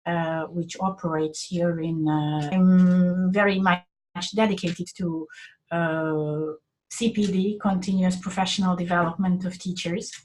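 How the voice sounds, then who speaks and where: Woman, low voice, measured. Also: steady tone, darkish tone, rough recording. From Hungary. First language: Hungarian. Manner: measured, pausing often to find words. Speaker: guest, English teacher and teacher trainer. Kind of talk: webinar interview self-introduction. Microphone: video call.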